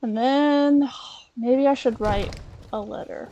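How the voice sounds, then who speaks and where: A woman's voice: sits high, slow, dark tone, muffled recording, faint music behind. A woman playing a polite, wry scholar adventurer, musing aloud in character through a video game. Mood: curious, chatty. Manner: musing, chatty, dryly polite.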